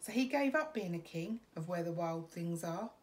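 A middle-aged woman reading a children's bedtime story expressively, with dramatic pauses. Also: lowish voice, expressive, a little hiss or hum. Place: small room at home.

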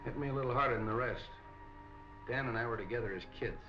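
A middle-aged American actor playing a gruff, curt, hard-boiled police captain in a 1940s film. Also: male voice, mid-range voice, fast talker, music in the background, lightly acted. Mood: grim, determined. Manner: curt, brusque. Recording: dull, band-limited 1940s film soundtrack.

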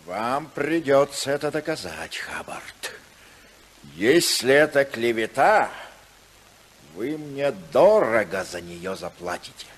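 An actor playing an accusing, indignant senator husband, scornful in manner, in 1950s radio drama style. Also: male voice, medium pitch, big pitch swings, slow pace. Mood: suspicious, threatening. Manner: sharp, scornful.

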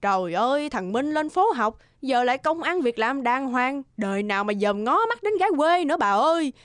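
A woman's voice: high-pitched, lively intonation, moderate pace, smooth, dry recording, clean studio sound. An actress voicing a gossipy, opinionated village woman in a cartoon. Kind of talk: cartoon dialogue acting.

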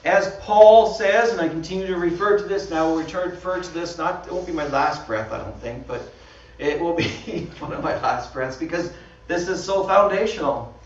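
A man's voice: a little high, moderate pace, a little hiss or hum. A man with a Canadian accent, a pastor giving a conversational sermon with slides, laughing at himself often. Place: small church hall. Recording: dull, roomy sound from far off.

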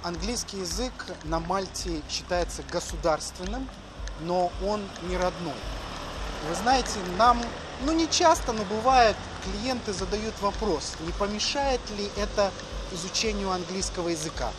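A man's voice: high voice, measured pace, noisy background. A middle-aged man talking casually as the host of a walking vlog, recorded outdoors on city streets. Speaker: education agency host. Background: music, street ambience.

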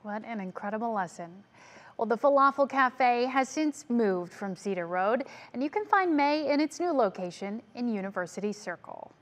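A woman anchor introduces a news story in a clean television studio. She reads clearly and composedly in a fairly high voice. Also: expressive, normal speed, soft background music.

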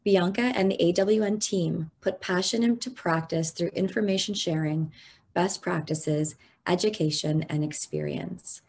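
Woman, conference host, measured intro reading, low voice, faint background hum. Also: darkish tone. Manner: measured, slowing on list items.

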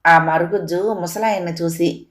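A woman's voice: fairly low pitch, steady tone, normal speed. A woman narrating a scripted moral folk tale as a storyteller.

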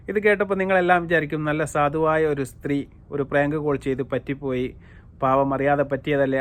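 Higher-voiced Malayali man giving emphatic commentary. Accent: Malayali, from Kerala. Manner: emphatic, repetitive, addressing listeners directly. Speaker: YouTube commentator. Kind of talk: opinionated commentary to viewers.

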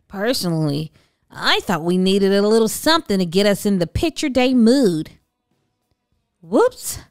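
A woman's voice: fairly high pitch, dry recording, studio quality. A woman reading a children's story aloud expressively, drawing out key words with theatrical emphasis. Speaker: storybook narrator. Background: background music.

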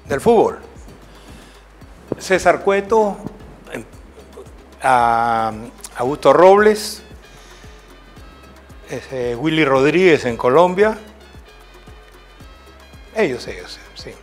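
An older man with a Peruvian accent tells long, winding anecdotes as an interview guest. His voice sits somewhat high for a man, and he often tags his sentences with a questioning "right?". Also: big pitch swings, very slow, long pauses, faint music behind. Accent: Peruvian Spanish. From Peru. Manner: rambling anecdotal storytelling with tag questions. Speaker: former football coach, guest. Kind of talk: storytelling interview.

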